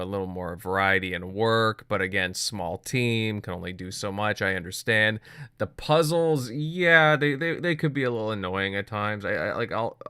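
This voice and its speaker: Podcast guy with a lowish crisp voice, dry close sound. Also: bright, forceful tone, no echo. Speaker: podcast panelist. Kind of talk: casual chat.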